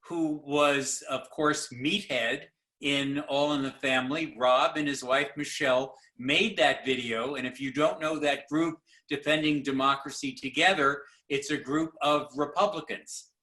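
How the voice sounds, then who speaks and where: A man moderates a panel over a video call. He speaks slowly, with a bright, forceful edge, and keeps stopping to restart his sentences. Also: fairly high pitch, steady tone, poor audio. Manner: halting, many fillers and restarts.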